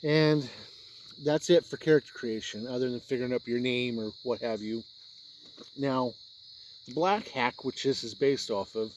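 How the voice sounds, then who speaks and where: A man's voice: medium pitch, low-quality recording. A man with a mid-range voice gives an unscripted review. He explains things plainly, one step after another, and sometimes repeats himself. A light layer of background noise sits behind his voice. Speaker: tabletop game reviewer.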